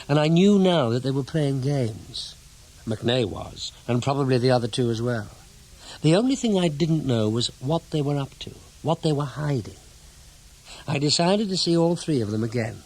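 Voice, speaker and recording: An actor playing a blunt, dogged intelligence investigator in a radio drama, probing and insistent in clipped phrases. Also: male voice, mid-range voice, poor audio. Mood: reflective. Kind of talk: radio drama acting, dialogue and first-person narration.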